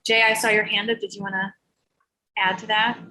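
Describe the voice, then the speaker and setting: A woman's voice: medium pitch, level tone, a little fast, pushed and bright, low-quality recording. A woman hosting an online meetup, chatting casually with many "like" fillers. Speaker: meetup host. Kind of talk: casual group video chat.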